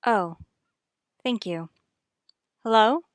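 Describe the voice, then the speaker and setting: A woman's voice: on the high side, drawn-out words, dry recording, clean studio sound. A woman playing a troubled, guarded wife and mother in an old-time radio soap, restrained and evasive in manner. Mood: polite.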